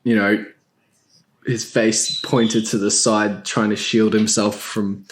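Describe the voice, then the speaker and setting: A man with a fairly low voice talks casually with friends on a panel. He rambles along in slang and gives his opinions bluntly. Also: little pitch movement, slow. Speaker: podcast panelist. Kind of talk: casual group chat.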